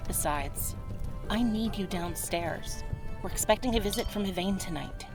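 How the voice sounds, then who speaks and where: A woman's voice: medium pitch, normal speed, over music, lightly acted. A woman voicing a caring but firm tavern woman, motherly and soothing, in a fantasy roleplay audio drama. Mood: stern, firm. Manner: motherly, soothing, gently authoritative. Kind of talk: fantasy roleplay ASMR acting.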